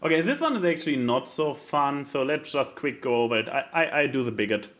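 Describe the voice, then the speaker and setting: A man's voice: mid-range voice, animated, fairly quick, a bit bright. A man co-hosting a podcast, reading posts aloud and commenting casually with sarcastic, mock-dramatic delivery. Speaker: podcast co-host.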